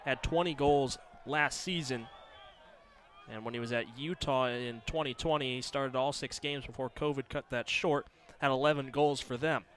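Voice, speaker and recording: A man's voice: mid-range voice, steady tone, moderate pace, a bit bright. A man calling live sports as a play-by-play announcer on a broadcast.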